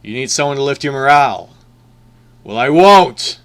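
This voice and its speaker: A man's voice: mid-range voice, animated, normal speed, a bit bright, lightly acted. A man playing a bitter, defiant young musician full of moral outrage in an amateur audio drama. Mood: outraged.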